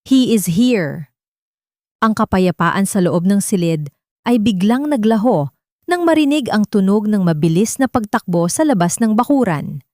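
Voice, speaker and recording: A woman's voice: medium pitch, sing-song, normal speed, a little mellow, dry recording, clean studio sound. A woman narrating a dramatic audio love story as scripted storytelling.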